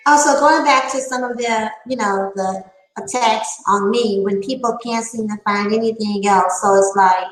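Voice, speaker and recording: A woman's voice: a little high, moderate pace, echoey room, faint music behind, rough recording. A woman nearing fifty giving a candid opinion monologue, emphatic and repeating her phrases for effect. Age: late 40s. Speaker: online panel talker.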